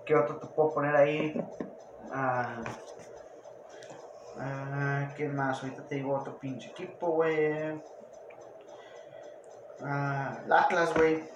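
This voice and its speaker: Slangy Mexican man, higher voice, echoey room. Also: steady tone, slow, pauses often, muffled recording. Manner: slangy, swearing, argumentative. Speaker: podcast co-host. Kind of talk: casual sports banter. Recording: echoey room, noticeable background noise.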